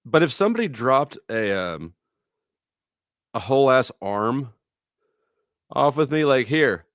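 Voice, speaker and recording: A man's voice: mid-range voice, big pitch swings, measured pace, a bit bright, dry recording, clean studio sound. A middle-aged man hosting a livestream, chatting casually with viewers in a rambling, blunt way with some swearing. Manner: rambling, blunt, profane, anecdotal. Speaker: livestream host.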